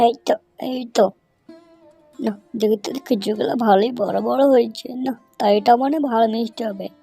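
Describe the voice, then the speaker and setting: Woman voicing a fretful old cartoon fox, high-pitched. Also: normal speed, vocal fry, warm tone, slightly rough, soft background music. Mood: fretful, hurried. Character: anxious old male fox, fed up with theft. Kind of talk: amateur cartoon dubbing.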